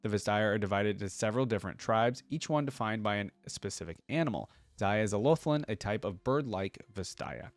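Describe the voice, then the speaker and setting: A man's voice: on the low side, lively intonation, fairly quick, hardly any pauses, no room sound. A man giving casual reaction commentary as a video creator, musing aloud informally and sometimes reading text out.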